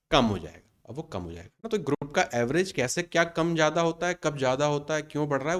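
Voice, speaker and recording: A man in his thirties teaching an exam-prep maths lesson in Hindi mixed with English terms. He explains step by step and answers his own questions. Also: a little high. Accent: Indian Hindi with English terms mixed in. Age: 30s.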